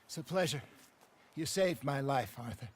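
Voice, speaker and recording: An actor playing an older outlaw hunter in a Western video game, proud and wry, with a folksy way of talking. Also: male voice, mid-range voice, animated, normal speed. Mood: wry, grateful. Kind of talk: video game dramatic acting. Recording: clear noise of outdoor game ambience.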